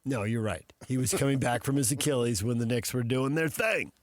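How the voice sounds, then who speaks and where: A man's voice: mid-range voice, fairly quick, dry recording. A man co-hosting a sports radio show, trading casual banter about the news.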